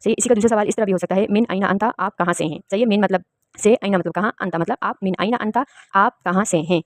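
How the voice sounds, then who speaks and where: A woman's voice: mid-range voice, fast, no room sound. A woman from Pakistan, teaching an Arabic lesson and patiently explaining each word. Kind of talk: spoken language lesson.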